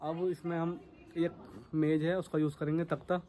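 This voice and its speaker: A man's voice: a little high, flat delivery, moderate pace, warm tone, a little hiss or hum. A young man in his twenties, chatting step by step to viewers as he builds a bed in his car, recorded outdoors in a yard on a phone held at arm's length. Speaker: vlogger. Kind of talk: casual how-to vlog. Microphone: phone camera held at arm's length.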